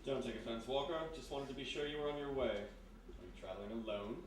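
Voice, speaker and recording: An actor playing a courteous but needling army major, dry and measured, in a 1930s-style radio mystery. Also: male voice, mid-range voice, close to an everyday voice. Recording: distant, roomy live stage, faint noise.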